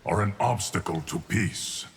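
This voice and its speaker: An actor playing an ageless, solemn guardian of time in a video game, speaking in a deep, gravelly, measured and formal voice. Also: male voice, a little hiss or hum. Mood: grave, calm. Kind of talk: video game character dialogue.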